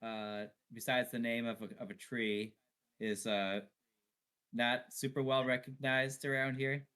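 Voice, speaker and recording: A man's voice: medium pitch, fairly even intonation, measured pace, sharp tone, clear, resonant tone. A man playing a tabletop role-playing game who speaks in character in a genial, mock-formal way. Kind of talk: in-character casual chat.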